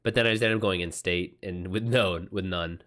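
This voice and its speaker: A man's voice: low voice, steady tone, fairly quick, a bit bright. A man hosting a livestream in a casual, informal style, reacting to chat comments with exclamations and swearing. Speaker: streamer, author hosting a live chat.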